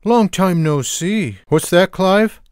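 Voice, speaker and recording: A man voicing a lazy, sarcastic, gluttonous cartoon cat with deadpan, wry delivery for a video game. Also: sits high, slow pace, no room sound, clean studio sound. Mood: casual, upbeat. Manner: deadpan, wry one-liners. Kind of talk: video game character voice clips.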